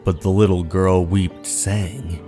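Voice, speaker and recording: A man's voice: low voice, steady tone, warm tone. A man narrating scripted horror stories in a dramatic, suspenseful manner. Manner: slow, dramatic, suspenseful delivery. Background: background music.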